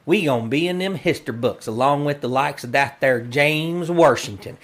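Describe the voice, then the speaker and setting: An actor playing a naive, cheerful cowboy sidekick with an American Old West drawl and a simple, folksy manner in a western comedy audio drama. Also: male voice, fairly high pitch, normal speed, fairly bright tone. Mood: proud. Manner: simple, folksy, earnest malapropisms.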